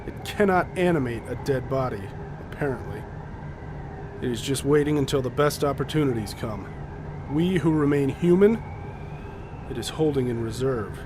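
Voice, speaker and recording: A man's voice: mid-range voice, expressive, moderate pace, noisy background, natural voice. Level-headed scientist in command played by a man. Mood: wry explaining. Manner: reasoned, wry, decisive. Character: level-headed male scientist taking command, wry and practical. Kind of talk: radio drama acting.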